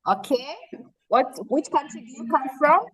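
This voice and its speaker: A woman's voice: high voice, lively intonation, normal speed, a bit bright, poor audio. A woman moderating an online summit over a video call, calling on participants one by one and repeating their words back.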